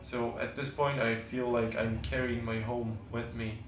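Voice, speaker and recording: Man, twenties, Turkish accent. Reflective documentary interviewee, hesitant phrasing, middling-to-low pitch. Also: little pitch movement, measured pace, echoey room, faint music behind. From southern Turkey. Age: 20s. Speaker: student, documentary subject.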